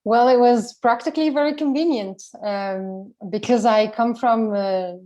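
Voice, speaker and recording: A woman speaks in a mellow, warm tone as a panel guest. She answers plainly and without fuss. The sound comes over a video call. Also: a little high, unhurried, highs cut off. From Lebanon.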